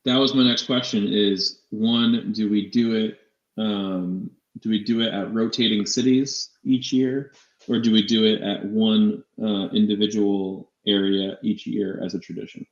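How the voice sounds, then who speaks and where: A man co-hosting a casual fantasy sports live show, talking in a halting way with repeated and restarted phrases. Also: on the low side, steady tone. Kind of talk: casual sports talk banter. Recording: echoey room, dull lo-fi mic.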